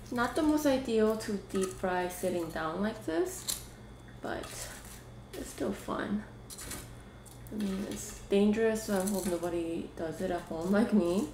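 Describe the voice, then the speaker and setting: A woman's voice: mid-range voice, animated, unhurried, mellow. A young woman casually chatting and thinking aloud as she fries, then eats, on her mukbang show. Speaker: mukbang host. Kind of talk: casual chat while cooking and eating. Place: small home kitchen room, indoors. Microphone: desk microphone on stand. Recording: echoey room with clear background noise. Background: cooking and dish clatter.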